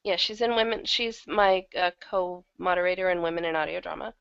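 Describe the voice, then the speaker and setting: Woman, interview guest, lower-pitched with strong vocal fry, conversational delivery, muffled online-call sound. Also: fairly quick, a bit bright. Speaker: interview guest, audio drama producer. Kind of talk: casual interview chat. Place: online video hangout.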